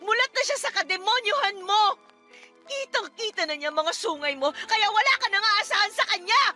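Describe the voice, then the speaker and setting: An actress playing a furious, unforgiving young stepdaughter with a Filipino accent, emphatic and scornful in a radio drama. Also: female voice, extremely high pitch, animated, moderate pace, pushed and bright, exaggerated voice. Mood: defiant, vindicated. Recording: music under the voice.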